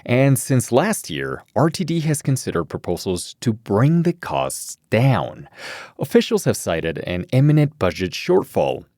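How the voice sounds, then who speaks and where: Low-pitched American newsman, lively intonation. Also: measured pace, dry recording, clean studio sound. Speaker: news host and reporter. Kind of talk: scripted public radio news narration and interviewing. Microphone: close studio microphone, dry.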